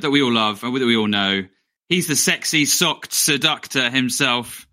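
Bright, teasing man. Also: medium pitch. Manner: teasing, upbeat, introduces guests. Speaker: podcast co-host. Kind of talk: casual chat.

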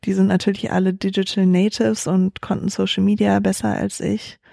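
Young woman founder, dark mellow voice, dry close sound, thinks out loud with lots of fillers. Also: mid-range voice, flat delivery, a little fast, gravelly, no echo. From Germany. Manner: thoughtful, many fillers and restarts. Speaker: startup founder, guest. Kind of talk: reflective interview answers.

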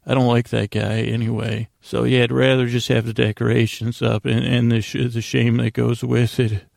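Male bedtime podcast host, heavy vocal fry, halting and self-deprecating, wandering monologue, close dry sound. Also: medium pitch, little pitch movement, darkish tone, no echo. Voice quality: gravelly, creaky. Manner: meandering, halting, stammering with repeated words.

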